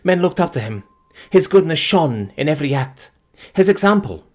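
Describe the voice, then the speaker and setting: A man's voice: mid-range voice, expressive, quick pace, husky, voice pushed up. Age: young adult. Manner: pleading, breathless, trembling. Figure: a devoted woman in mourning, as a male narrator puts her on, in an audiobook.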